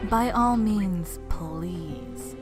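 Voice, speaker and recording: A woman's voice: medium pitch, drawn-out words, music in the background. A woman playing a sullen, defiant young female trainee in a drama, with a sarcastic, cutting manner. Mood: bitter, accusing. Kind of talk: dramatic voice acting in an audio drama.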